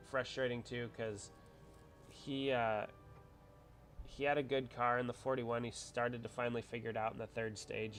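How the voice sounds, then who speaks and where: A man's voice: mid-range voice, creaky. A man hosting a casual livestream, congested from a cold, rambling as he chats with viewers. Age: adult with a wife. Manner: chatty, rambling, reads out viewer comments. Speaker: livestream host.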